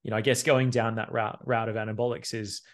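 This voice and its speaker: A man's voice: on the low side, little pitch movement, fast talker. A man co-hosting a podcast, agreeing with others and leading questions, with plenty of fillers. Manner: agreeable, lots of fillers and restarts, leads questions. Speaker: podcast co-host. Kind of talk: casual podcast chat.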